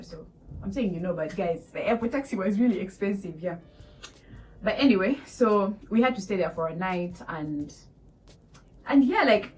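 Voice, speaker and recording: A young woman in her twenties with a Ugandan accent, telling a casual storytime to viewers, animated and laughing often. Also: lowish voice, recorded from a distance, rough recording. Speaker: vlogger. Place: small room indoors.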